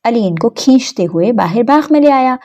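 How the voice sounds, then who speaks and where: A woman with a fairly high voice narrates a children's story. Her delivery is scripted storytelling, aimed at young listeners. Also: animated, dark tone.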